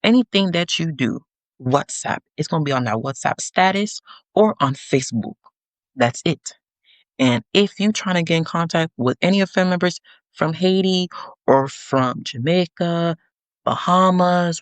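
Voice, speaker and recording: A young adult woman hosting a podcast, giving a sassy, animated monologue with teasing asides to her listeners. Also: low-pitched, moderate pace, dry recording. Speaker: podcast host.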